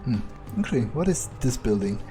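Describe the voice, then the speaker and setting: A man's voice: medium pitch, very animated, fairly quick, music in the background, natural voice. A man roleplaying a callous, amused vampire in a video game, musing in a drawling, self-satisfied way. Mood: musing, disdainful. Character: smug, amused, callous male vampire schemer. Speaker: gamer playing in character.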